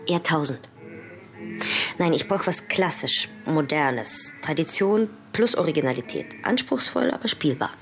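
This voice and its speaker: An ambitious young woman violinist in a radio drama. Her voice is very low for a woman, determined and precise, with music clearly behind it. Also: expressive, moderate pace. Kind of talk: German radio drama acting.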